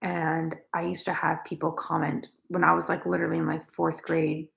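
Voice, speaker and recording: Young female crochet streamer, low raspy gravelly voice, loose chatty delivery full of fillers. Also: level tone, normal speed, fairly bright tone. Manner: rambling, many fillers. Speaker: crochet vlogger. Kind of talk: casual livestream chat, reading comments.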